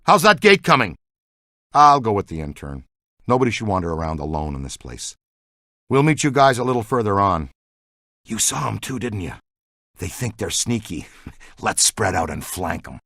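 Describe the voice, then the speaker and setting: Bright, animated seasoned ghost hunter. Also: male voice, mid-range voice, moderate pace, long pauses, no room sound, clean studio sound. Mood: coaching, wary. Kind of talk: video game character dialogue.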